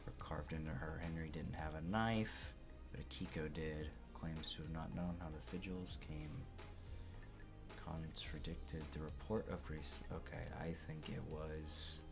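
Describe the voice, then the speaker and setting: A man with a very low voice reads through a game for a playthrough. His delivery turns theatrical for dialogue and halting for his own asides, with music playing softly behind him. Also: fairly even intonation. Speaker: let's player reading game dialogue aloud. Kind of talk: voiced playthrough commentary, reading aloud.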